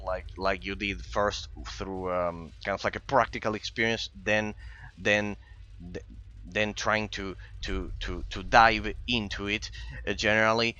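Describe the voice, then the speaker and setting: A man's voice: on the low side, bright, forceful tone, highs cut off. A non-native English-speaking man with a European accent, rambling in casual commentary over a game. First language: not English. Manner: rambling, with frequent repeated words. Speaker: gaming streamer and English teacher.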